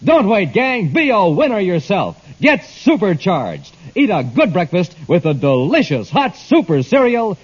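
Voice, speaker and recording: 50s american radio announcer guy, excited hard sell, swoops up and down, muffled old recording. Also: sits high, measured pace, few pauses, slightly rough. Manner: punchy, hard-sell, enthusiastic. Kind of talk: 1950s radio announcing and ad pitch.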